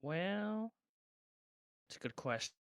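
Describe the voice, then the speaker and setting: A man's voice: sits high, very animated, takes it slowly, clean tone, no room sound, clean studio sound. A man playing a tabletop roleplaying game, casually narrating what his character does and chatting with others. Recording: slightly noisy mic with clicks.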